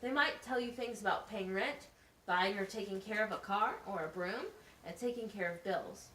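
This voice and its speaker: A woman's voice: mid-range voice, normal speed, pushed and bright, close to an everyday voice. A woman voicing an encouraging, methodical teacher in a scripted puppet show, explaining things plainly and asking questions. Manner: instructive, question-led, plain wording. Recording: roomy, distant mic, faint noise.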